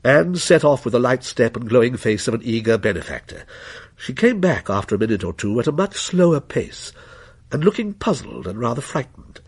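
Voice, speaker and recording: A man narrating a scripted dramatic story in a measured, literary manner. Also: medium pitch, big pitch swings, husky.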